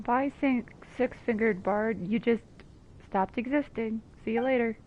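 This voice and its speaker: A woman's voice: fairly high pitch, measured pace, phone-quality sound. A woman giving casual, playful and informal reactive commentary while playing a video game. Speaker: gamer commentating on a playthrough. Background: game music.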